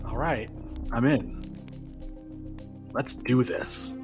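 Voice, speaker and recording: A man's voice: medium pitch, drawn-out words. A man playing a reluctant gamer sidekick in a tongue-in-cheek radio play, with a hesitant, questioning manner. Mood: doubtful. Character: doubtful male gamer sidekick, reluctant but loyal. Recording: loud music under dialogue.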